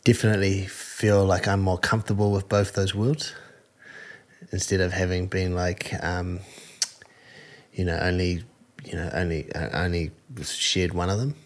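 A man with a New Zealand accent, a fighter, answering interview questions in an earnest, halting way with many fillers. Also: deep voice, level tone. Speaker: combat sport fighter, guest.

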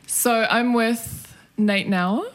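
A woman's voice: a little high, steady tone, drawn-out words. A woman hosting a chat podcast, giggly and chatty as she asks questions. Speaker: podcast host. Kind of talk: casual interview chat.

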